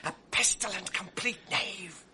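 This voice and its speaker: An actor playing a scheming, bitter villain, sly and mocking, sinking into venomous whispers in a classic radio drama. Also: male voice, high-pitched, a little slow. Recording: old broadcast with audible noise.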